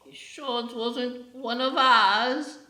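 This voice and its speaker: A woman playing a muddled, suspicious child who speaks haltingly and trips over words in an improvised radio play. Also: on the high side, fairly even intonation, bright, forceful tone. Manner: halting, tongue-tangled. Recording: roomy, echoey voice recording.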